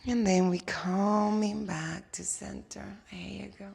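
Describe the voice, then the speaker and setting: A woman from Cuba with a Cuban Spanish accent, a native Spanish speaker, guiding a yoga class with slow, soothing cues and playful asides. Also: mid-range voice, flat delivery, gravelly, a little mellow. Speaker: yoga instructor.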